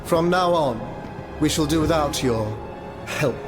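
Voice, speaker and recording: Actor as haughty, higher-voiced French king. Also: measured pace, over music, lightly acted. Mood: cold disdain. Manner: imperious, formal, scornful. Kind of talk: dramatic video game cutscene acting.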